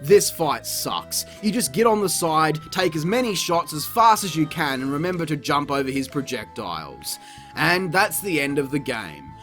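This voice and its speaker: Very animated, sweary game reviewer. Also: medium pitch, moderate pace, soft background music. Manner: sweary, exasperated, sarcastic ranting. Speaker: video game reviewer, channel host. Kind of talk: scripted comedic review narration.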